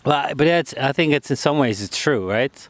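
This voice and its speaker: A man's voice: medium pitch, expressive, fairly quick. A man interviewing a guest outdoors in a park, talking loosely with many restarts and "you know"s. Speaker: street interviewer.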